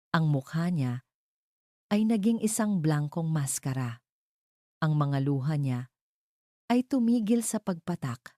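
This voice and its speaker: Woman reading a dramatic love story, deep for a woman, very dry close sound, deliberate delivery. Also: mellow, no echo. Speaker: narrator.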